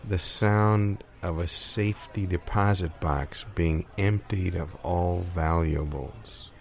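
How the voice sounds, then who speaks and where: Very low-voiced man, dry and hesitant. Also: steady tone, slow, vocal fry, darkish tone. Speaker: improv comedy performer. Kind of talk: improvised comic dialogue.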